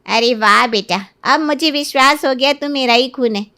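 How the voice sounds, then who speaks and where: A woman's voice: high-pitched, normal speed, bright, forceful tone. A woman playing a scheming middle-aged mother-in-law. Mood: smug scheming. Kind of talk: Hindi moral-story cartoon dialogue.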